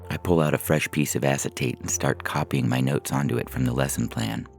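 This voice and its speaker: A man reading a first-person short story as a narrator, in a measured, deliberate way, from a script. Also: a bass voice, fairly even intonation, gravelly. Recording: music bed under the voice. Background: synthesizer music.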